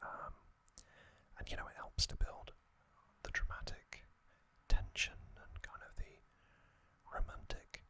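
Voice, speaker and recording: Slow whispering man, ASMR host. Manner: soft unvoiced whisper with frequent ums; rambling, personal recollections.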